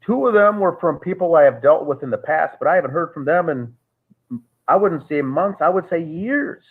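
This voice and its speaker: A man, a guest on a call, recounting events in a measured, halting way with frequent restarts. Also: a little high, animated. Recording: tinny phone-like call audio.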